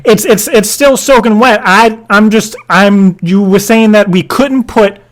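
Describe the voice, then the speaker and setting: A man playing an earnest, clueless, stubborn dad, stammering and deadpan as he repeats himself in an improvised prank call. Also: high voice, a little slow. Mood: confused, earnest. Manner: hesitant, stammering, repetitive, deadpan.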